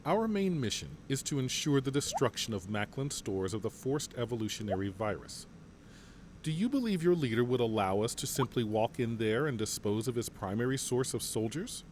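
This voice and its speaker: A man playing a calm, assured general. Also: mid-range voice, normal speed, a little hiss or hum, natural voice. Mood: commanding. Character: composed male general leading a mercenary company. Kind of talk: fan audio drama acting.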